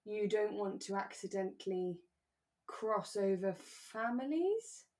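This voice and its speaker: A woman co-hosts an easygoing podcast chat. Her voice sits at an average pitch, and she speaks informally, thinking out loud as she goes. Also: expressive, takes it slowly. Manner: conversational, thinking aloud. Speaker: podcast co-host; actor. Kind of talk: relaxed casual chat about a radio drama.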